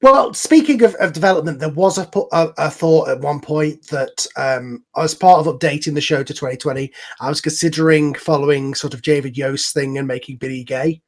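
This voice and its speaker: Man, slightly high voice, host and creator, casual group chat, online voice call. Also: fairly quick, few pauses.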